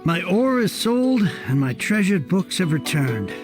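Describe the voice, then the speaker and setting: An earnest, bookish merchant heir from a video game. His voice is high and swings expressively as he talks in fussy, formal phrases, with light music behind him. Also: big pitch swings, measured pace. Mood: grateful.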